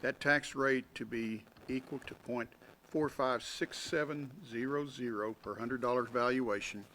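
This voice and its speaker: A man on a town council makes a formal motion, pausing with fillers as he reads out dates and figures with care. A faint hiss runs under the recording. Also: mid-range voice, pushed and bright. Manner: halting, reads figures carefully. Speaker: council member.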